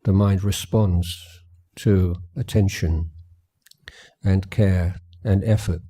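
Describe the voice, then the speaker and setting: Male meditation guide. Low, even pitch, measured and gentle retreat talk, unhurried phrases with long pauses. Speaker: Buddhist meditation teacher.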